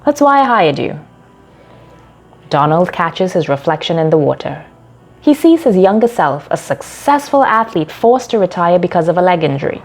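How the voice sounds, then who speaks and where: A woman narrating a short story from a script in a measured, dramatic storytelling delivery. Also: lowish voice, very animated, a little mellow.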